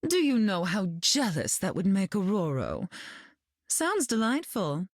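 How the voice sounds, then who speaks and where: Slow, teasing, elegant society hostess. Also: female voice, mid-range voice, sing-song, no echo, studio quality. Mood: teasing, poised. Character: poised, flirtatious high-society hostess, a glamorous heroine. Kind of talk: video game character voice acting.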